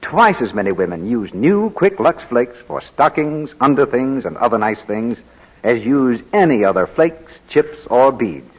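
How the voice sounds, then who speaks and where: A man working as a radio announcer and pitchman, reading scripted advertising in 1940s style. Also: sing-song, a little slow, darkish tone. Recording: muffled old 1940s radio broadcast.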